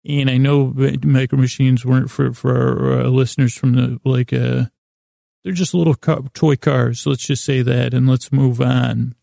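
A man hosting a sleep podcast in a rambling bedtime monologue, halting and stumbling over his words, repeating himself and losing his thread, with a gravelly voice. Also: mid-range voice, little pitch movement, mellow, dry recording, clean studio sound. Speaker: podcast host.

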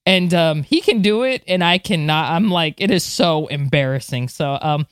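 A woman's voice: sits low, expressive, normal speed, clean tone, no room sound, studio quality. A woman co-hosting a podcast, chatting casually and reacting with enthusiastic exclamations. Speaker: podcast co-host.

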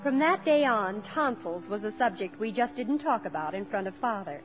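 A young woman playing an earnest high-school daughter, telling her family's story expressively in a 1950s radio drama. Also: expressive, moderate pace, natural voice, poor audio. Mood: amused. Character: bright, earnest eldest daughter, a high-school girl, narrating. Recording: old radio broadcast with loud music.

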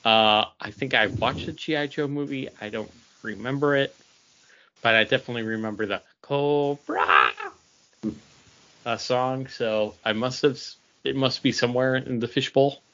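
A man's voice: mid-range voice, moderate pace, sharp tone. A man co-hosting a podcast in casual chat, with a wry, noncommittal way of talking. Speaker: podcast co-host. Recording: dull, lo-fi podcast audio.

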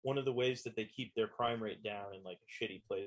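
A man's voice: lowish voice, brisk. A man chatting casually, hedging and filling his talk with "you know" and "like". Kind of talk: casual chat about a TV show.

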